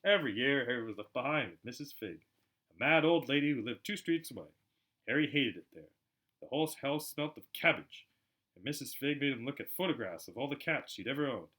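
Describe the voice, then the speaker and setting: A man narrating a storybook aloud in an expressive, dramatic way, as an amateur audiobook reader. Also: mid-range voice, a little fast. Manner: animated, dramatic.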